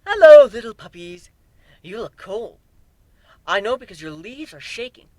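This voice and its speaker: High, manic childlike griffin warrior. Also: male voice, sing-song, measured pace, darkish tone, dry recording, full character voice, low-quality recording. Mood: mock sweet. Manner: sing-song baby talk. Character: gleeful, childishly mad young griffin warrior taunting monsters. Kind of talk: dramatic fantasy story reading.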